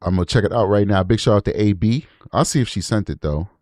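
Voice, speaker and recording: A man's voice: fairly low pitch, quick pace, darkish tone, no room sound, studio quality. A man hosting a true crime livestream, casually commenting on audio clips and repeating words for emphasis.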